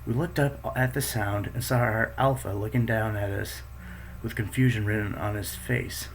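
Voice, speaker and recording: A man's voice: lowish voice, normal speed, slight background noise, lightly acted. A man reading as a protective female raptor. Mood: calm. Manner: steady storytelling narration. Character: female raptor, pack beta, alert and protective.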